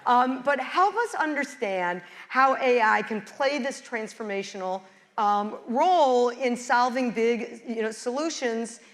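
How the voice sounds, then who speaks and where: A woman moderating a conference panel, asking brisk, upbeat questions. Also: a little high, lively intonation.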